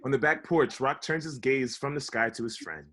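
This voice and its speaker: A man's voice: mid-range voice, moderate pace, bright, forceful tone. A man giving scripted narration, reading out stage directions for a table read.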